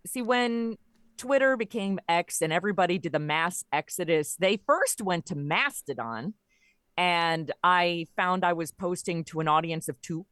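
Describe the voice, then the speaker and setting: A middle-aged woman with a slightly low voice talks casually as an interview guest. She is wry and jokey, and the sound is close and dry, free of room echo. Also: sharp tone, clear, resonant tone. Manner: wry, joking. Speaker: podcast guest, audio comedy creator.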